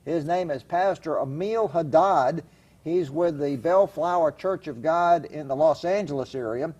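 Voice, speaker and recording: A man's voice: fairly high pitch, unhurried, a little mellow, lo-fi sound. Folksy man hosting a studio show. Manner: folksy, chatty, emphatic. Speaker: TV talk show host. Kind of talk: studio interview. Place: television studio.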